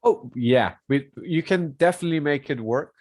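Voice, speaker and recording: A man with a lingering cough chatting casually as a podcast guest, halting as he searches for words. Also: a little high, darkish tone, dry recording, studio quality. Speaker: podcast guest and personal trainer.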